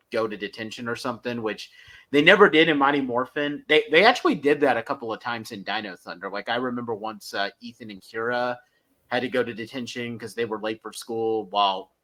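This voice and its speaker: A man co-hosting a podcast, recapping and reviewing episodes casually in a halting, piecemeal way. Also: mid-range voice, bright, forceful tone. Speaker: podcast co-host.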